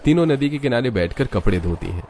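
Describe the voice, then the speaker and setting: A man's voice: mid-range voice, animated, fast talker, mellow, slight background noise. A man reading scripted story narration as the narrator of a tale.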